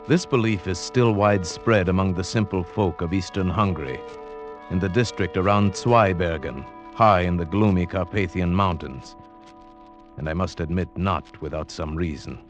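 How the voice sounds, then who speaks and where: An actor playing a cultured Slavic gentleman in an old radio drama, speaking formally and with measured care. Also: sits low. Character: cultured Slavic gentleman recounting a grim werewolf tale. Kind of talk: 1940s radio drama narration. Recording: muffled vintage radio recording with music.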